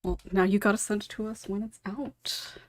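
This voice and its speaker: A woman's voice: mid-range voice, fairly even intonation, normal speed. A woman hosting a casual panel discussion, moving between questions and guests as moderator. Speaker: podcast host and moderator.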